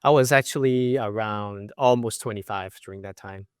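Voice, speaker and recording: Low-voiced man in his twenties, easygoing. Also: normal speed, smooth, no echo, studio quality. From Macau. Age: 20s. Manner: relaxed, many fillers like you know. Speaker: podcast guest. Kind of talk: casual interview.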